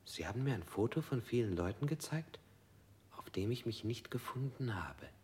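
An actor playing a male prisoner who flatly recounts his interrogation, at times in a whisper. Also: mid-range voice, normal speed, close to an everyday voice. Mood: numb. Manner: flat, numb recounting, often whispered. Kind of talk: 1960s radio play acting.

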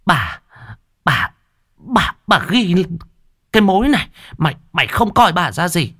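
A man voicing a shrill, scheming middle-aged stepmother who screeches and wails. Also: expressive, moderate pace, pushed and bright, dry recording, studio quality, heavily acted. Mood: scolding. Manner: screeching, wailing, scolding.

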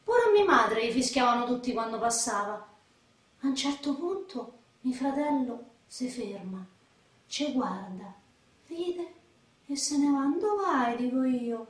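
A defiant young Roman woman, played by an actress, with a high voice and a brash, combative way of talking. She speaks broad street slang in a radio play. The sound is echoey and a little distant from the microphone. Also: expressive, a little slow. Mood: boastful, excited. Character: defiant, foul-mouthed young woman from Rome's outskirts. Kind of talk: radio drama acting. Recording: roomy echo, voice off the mic.

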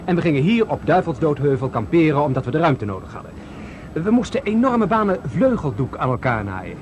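A man's voice: fairly high pitch, very animated, a little fast. An actor playing Wilbur Wright, an earnest inventor proudly explaining his flying machine in a 1970s radio play. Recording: radio play with loud outdoor background noise.

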